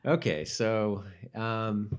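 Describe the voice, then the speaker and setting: A man co-hosting a podcast, chatting casually and informally in a halting way, as if thinking aloud. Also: fairly low pitch, clean tone. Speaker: podcast co-host. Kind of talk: casual chat about a TV rewatch.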